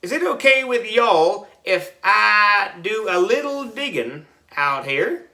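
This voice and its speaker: A man voicing a folksy old groundskeeper in a storybook, with a slow rural American drawl. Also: high-pitched, lively intonation, vocal fry, pushed and bright, pitched above the performer's own voice, full character voice. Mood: folksy, marveling. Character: old drawling folksy male groundskeeper, rustic gold hunter.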